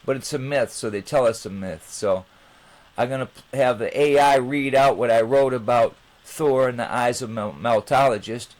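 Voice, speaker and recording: A man hosting his own channel, talking informally to viewers in a rambling, halting way. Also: mid-range voice, low-quality recording. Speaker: channel host.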